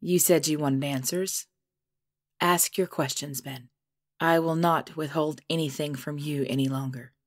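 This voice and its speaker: Woman acting a low-voiced, proud, menacing cursed queen. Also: steady tone, no echo, studio quality. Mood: composed, formal. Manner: formal, measured, deliberate storytelling delivery. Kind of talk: scripted audio drama.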